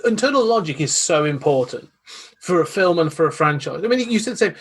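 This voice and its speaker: A man talks on a podcast in a loose, animated way, circling back on himself as he builds his point. His voice sits a little high and sounds husky. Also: brisk. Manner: rambling, animated, many restarts. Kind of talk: casual podcast chat.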